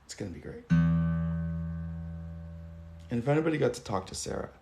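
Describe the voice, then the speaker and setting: A hoarse man in his thirties hosting a casual livestream, talking loosely and swearing now and then. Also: medium pitch, very animated, fairly quick. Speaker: livestream host. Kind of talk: rambling casual livestream chat. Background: guitar music.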